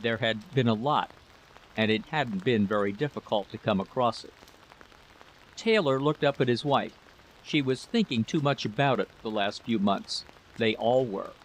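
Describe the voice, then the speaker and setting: A man's voice: medium pitch, normal speed, a little hiss or hum, low-quality recording. A man narrating a scripted story as a literary reader.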